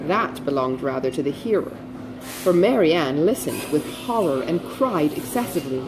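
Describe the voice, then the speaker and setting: A woman reading literary narration as an audiobook narrator, with expressive, careful delivery. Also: mid-range voice, low-quality recording.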